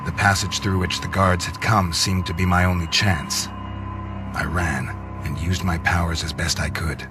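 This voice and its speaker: An actor playing a grim half-vampire antihero who narrates a video game in a terse, deadpan, noir-like way. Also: male voice, deep voice, a little slow, over music. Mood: brooding.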